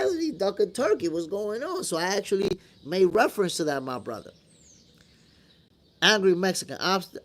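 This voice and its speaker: Higher-pitched New York man talking boxing. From New York, after moving from the Dominican Republic at eight. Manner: conversational and slangy, addressing viewers as brother. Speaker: boxing show host. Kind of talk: casual live-stream commentary, answering viewer comments.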